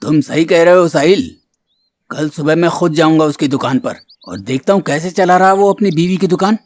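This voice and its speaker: A man's voice: a little high, moderate pace, natural voice. A man voicing a stern, traditional village headman in a moral-story cartoon, speaking with authority. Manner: authoritative, admonishing.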